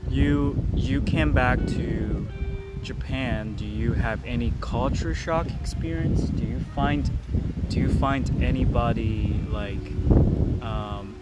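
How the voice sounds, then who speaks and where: A man of middle pitch conducts a casual interview in loose, non-native English. His questions run on and restart, heard against loud background music. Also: slow.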